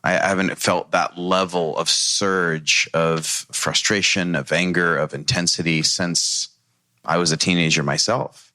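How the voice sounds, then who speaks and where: A man's voice: low voice, fairly even intonation, a little slow, pushed and bright, no room sound. A man speaking as a panel guest, earnest and reflective as he talks about his own experience. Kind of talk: reflective podcast discussion.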